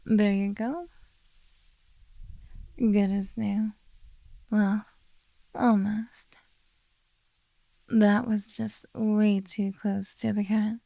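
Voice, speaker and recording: A woman voicing a possessive, doting yet menacing beast-girl captor in a soft, coaxing ASMR roleplay. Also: medium pitch, flat delivery, creaky, no room sound, poor audio. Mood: coaxing, soothing. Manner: slow, coaxing, quietly domineering.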